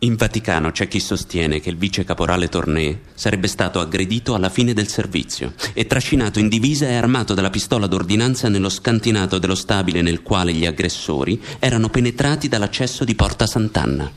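A man playing a sober, serious investigative narrator in a scripted radio drama, speaking in a measured way. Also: on the low side, natural voice. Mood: grave, pointed.

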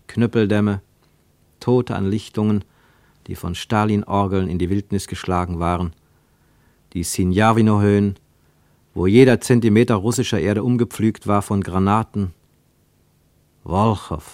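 Slow, low-voiced male radio narrator. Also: dry recording. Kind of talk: literary radio drama narration, scripted.

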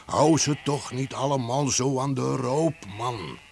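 An actor playing a kindly, earnest neighbour giving careful advice in a radio play, in a gravelly voice. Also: male voice, medium pitch, darkish tone. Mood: earnest, admonishing. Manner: slow, hesitant, admonishing. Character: kindly, earnest, meddling old-fashioned male neighbour. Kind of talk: Dutch radio play acting. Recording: noisy old radio recording, sheep bleating. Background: sheep bleating.

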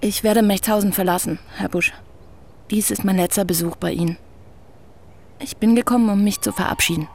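A young woman writer, voiced by an actress, presses her questions politely but keeps at them. She speaks in a measured, courteous radio-play manner. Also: medium pitch, steady tone, darkish tone, slight background noise, close to an everyday voice. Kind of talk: radio drama acting.

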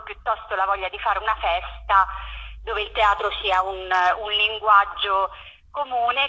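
A woman's voice: mid-range voice, pushed and bright, dull audio. A woman explains things informally as a radio interview guest, searching for her words as she talks over the telephone. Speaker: interview guest, theatre project organiser.

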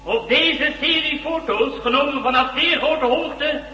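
An actor in a radio drama playing a pompous authoritarian leader giving a solemn, declamatory broadcast speech. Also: male voice, very high-pitched, unhurried, sharp tone, dull audio, a little hiss or hum, exaggerated voice. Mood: solemn, triumphant. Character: pompous authoritarian leader addressing the whole world. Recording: long echoing hall reverb.

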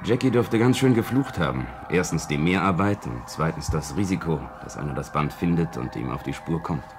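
An actor playing a matter-of-fact secret service investigator briefing a colleague in a radio play, in a dry, clipped manner. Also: male voice, rumbling low, lively intonation, a little fast, music in the background. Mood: wry, brooding. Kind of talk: 1970s German radio play dialogue.

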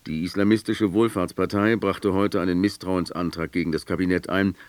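A male radio announcer with a low-pitched voice reads scripted news. His delivery is formal, measured and factual, recorded close and dry in a studio. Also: steady tone, no room sound.

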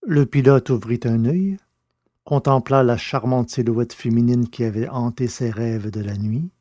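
A man narrating a scripted adventure story in a measured, literary way. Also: mid-range voice, warm tone, no room sound.

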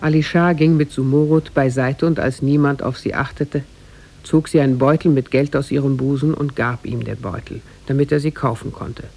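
A man narrating a scripted tale for 1950s German radio in a measured, formal storytelling manner, with a gravelly, husky voice. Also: medium pitch, steady tone, a little mellow.

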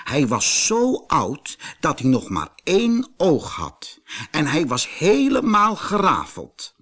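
A man narrating a children's storybook in an expressive, deliberate manner. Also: on the high side.

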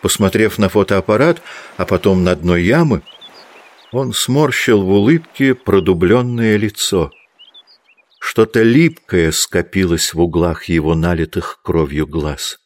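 A man's voice: low voice, a little slow, no echo. A man reading scripted literary narration as the narrator of an audio drama.

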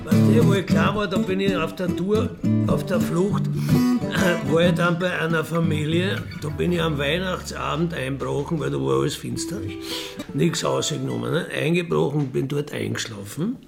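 A middle-aged or older man with a gravelly voice, telling personal stories casually and emphatically in colloquial speech, often checking for agreement. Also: on the high side, normal speed, loud music behind the voice. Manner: colloquial, emphatic, seeks agreement with tag questions. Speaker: interviewee, career crook telling his life story.

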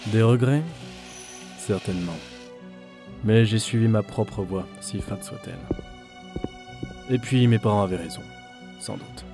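A man's voice: fairly low pitch, unhurried, pauses often, music in the background. A man playing a disillusioned office worker who broods and mutters to himself in a French audio drama. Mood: weary regret. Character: weary, disillusioned male office worker, former gamer.

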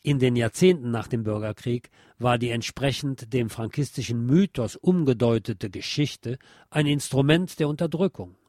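A man reading a nonfiction text aloud as a podcast host, in a formal, measured, factual manner. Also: medium pitch, darkish tone, no echo, studio quality.

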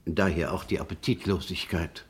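A family physician in a radio crime drama. His low, slightly rough voice is formal, soothing and measured, with old-fashioned courtesy. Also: steady tone. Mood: reassuring, courteous. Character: reassuring, formal male family doctor. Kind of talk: German radio crime play acting.

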